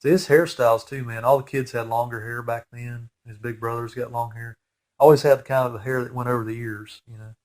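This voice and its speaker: A man's voice: mid-range voice, steady tone, a little fast, darkish tone. A man in his forties with a nasal head cold, giving a casual, rambling fan commentary over a movie with geeky enthusiasm.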